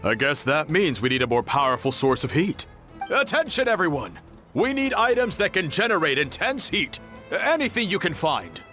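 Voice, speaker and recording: Commanding anime game leader, bright forceful voice, really animated. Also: male voice, a little high, moderate pace, soft background music. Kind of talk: anime video game voice acting.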